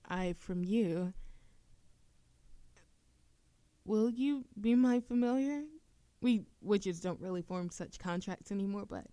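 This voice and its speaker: Woman acting as a sweet flustered witch girlfriend, slow soft teasing talk, bit of fry, super dry close sound. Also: lowish voice, no room sound. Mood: tender, playful. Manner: soft, halting, teasing, giggly. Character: affectionate, playful, easily flustered young witch in love. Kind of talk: intimate romantic audio roleplay.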